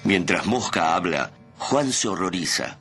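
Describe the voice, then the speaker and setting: A man with a deep voice narrating a scripted radio drama in the present tense. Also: very animated, measured pace, fairly bright tone, soft background music.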